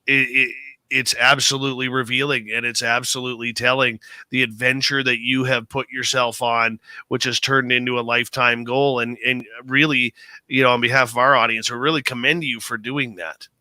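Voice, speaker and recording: A man's voice: medium pitch, pushed and bright, clean tone, no echo. A male radio show host interviewing a guest, enthusiastic and emphatic.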